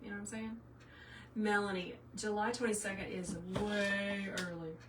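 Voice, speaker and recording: A woman's voice: mid-range voice, fairly even intonation, normal speed. A middle-aged woman with a Southern US accent, hosting a live craft tutorial and chatting casually and folksily with her viewers. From South Carolina, US. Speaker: craft tutorial livestream host. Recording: roomy, distant mic, faint noise.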